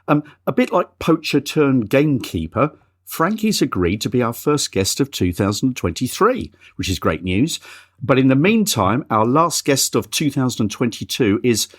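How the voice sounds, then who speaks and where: A man's voice: medium pitch, very animated, moderate pace, husky. A middle-aged man hosting a horse racing podcast in an easygoing, chatty way. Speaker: podcast host. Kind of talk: casual podcast chat.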